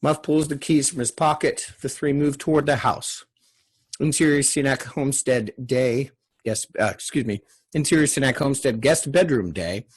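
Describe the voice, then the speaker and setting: Male stage-directions reader for a script read; medium pitch, slightly rough voice. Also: moderate pace. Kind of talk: scripted screenplay narration.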